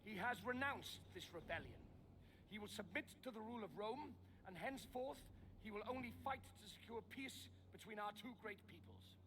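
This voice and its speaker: An actor playing a solemn Roman officer in a video game, making a formal, declamatory announcement. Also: male voice, high-pitched, lively intonation, normal speed, background noise. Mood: formal, proclaiming. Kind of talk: video game cutscene acting.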